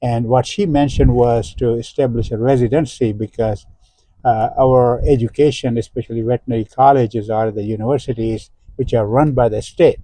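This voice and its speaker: Older man, halting professorial host. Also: medium pitch, steady tone, mellow, low-quality recording. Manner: halting, many "uh" fillers, explanatory. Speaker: interview host, professor emeritus. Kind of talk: unscripted interview.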